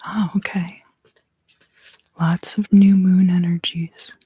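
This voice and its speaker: Breathy, half-whispering woman, slow and husky. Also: lowish voice, little pitch movement, no room sound. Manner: slow, soothing, hushed guided delivery. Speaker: tarot card reader and host. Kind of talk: ASMR tarot reading, half-whispered.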